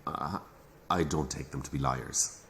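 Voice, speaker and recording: Earnest irish parish priest, deep voice, devout and a bit defensive, radio play. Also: male voice, steady tone, unhurried, a bit bright, full character voice. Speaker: actor in audio drama. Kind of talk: naturalistic audio drama acting.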